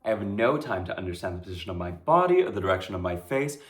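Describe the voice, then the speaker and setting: A man's voice: on the low side, big pitch swings, quick pace. Man acting an intense, terrified young street hustler. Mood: dazed, tense. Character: young street hustler, terrified, dazed, recounting brutal violence. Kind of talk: dramatic stage monologue. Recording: echoey room.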